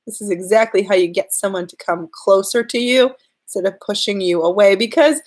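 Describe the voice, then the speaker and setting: A woman's voice: mid-range voice, a little fast. A woman speaking with a slight cold, her voice a little off from it. She gives earnest, direct advice straight to the listener in a live stream, leaning on the key words for emphasis. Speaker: relationship and law-of-attraction coach.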